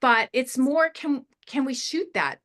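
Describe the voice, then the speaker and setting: Woman giving halting, emphatic story notes. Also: high-pitched, lively intonation, a bit bright. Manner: halting, emphatic, illustrating with examples. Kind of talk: spoken feedback in a panel discussion.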